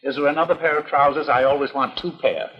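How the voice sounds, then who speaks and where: An actor playing a timid, mild-mannered husband who hesitates and stammers, in a 1950s educational film. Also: male voice, on the high side, steady tone, quick pace, natural voice. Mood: stubborn. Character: meek, timid middle-aged husband, easily ignored. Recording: dull reverberant old film soundtrack.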